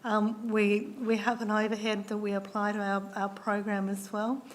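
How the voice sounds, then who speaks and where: A hoarse woman answers questions at a formal meeting, pausing on ums and restarting her sentences. Her delivery stays level and monotone, with little rise or fall. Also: medium pitch, a little hiss or hum. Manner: measured, with frequent ums and restarts. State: losing her voice. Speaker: council officer answering councillors.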